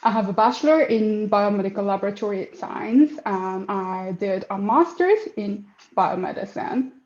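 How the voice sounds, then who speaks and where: Hesitant young woman, mellow, echoey. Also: mid-range voice, lively intonation, normal speed, lo-fi sound. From Afghanistan. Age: 20s. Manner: hesitant, many fillers and restarts. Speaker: project manager, interview guest. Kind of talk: casual interview answers.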